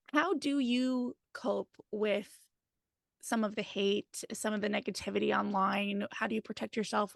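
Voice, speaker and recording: A woman's voice: a little high, creaky, a bit bright, no echo, clean studio sound. A woman interviewing a guest in casual conversation, feeling her way through questions with some hesitation. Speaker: podcast interviewer.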